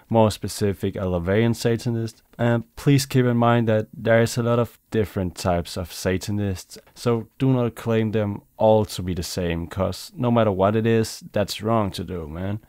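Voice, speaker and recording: Young man, Danish first language, low and smooth, dry close mic; laid-back casual commentary. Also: darkish tone, dry recording. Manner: laid-back, chatty, says "man" and "you know". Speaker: YouTuber. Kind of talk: casual commentary over gameplay.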